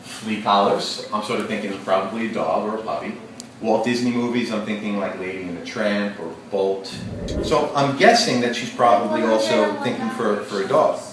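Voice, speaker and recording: A man's voice: fairly low pitch, moderate pace. Man teaching a class in an echoey room. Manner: lively, engaging, questions the class. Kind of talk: interactive read-aloud lesson. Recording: echoey classroom, distant mic, noisy.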